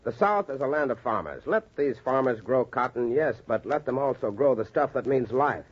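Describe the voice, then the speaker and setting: An actor playing an earnest, confident doctor in 1940s radio style, with an emphatic, oratorical manner and a husky voice. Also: male voice, mid-range voice, lively intonation, normal speed. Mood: impassioned. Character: earnest, confident male doctor addressing skeptical colleagues. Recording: dull, lo-fi 1940s radio recording.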